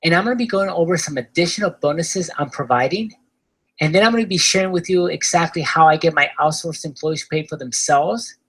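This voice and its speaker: A man with an Argentine accent giving an upbeat webinar sales pitch for his online course, often tagging his sentences with "right?". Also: brisk, fairly bright tone, slightly rough, poor audio. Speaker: course seller presenting a webinar.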